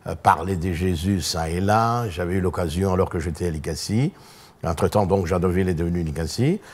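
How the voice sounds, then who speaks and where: A man in his sixties from Congo, a guest pastor telling his life story in a television studio, pausing often with fillers. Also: deep voice, breathy edge. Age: 60s. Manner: many filler pauses, measured storytelling. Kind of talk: hesitant, thoughtful recounting.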